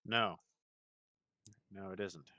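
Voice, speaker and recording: Bright-voiced, slow-talking man gaming. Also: sits low, dry recording. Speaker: game streamer. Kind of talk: casual gameplay chat.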